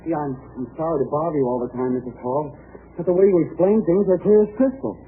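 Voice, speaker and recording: Boyish, eager actor as a college lad, old radio. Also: male voice, on the high side, fast, a little hiss or hum, natural voice. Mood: bashful, eager. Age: young adult. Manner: boyish, stammering, deferential. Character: earnest, eager, bashful young male college student. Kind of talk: 1950 radio comedy-drama acting. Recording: old narrow-band radio broadcast with music.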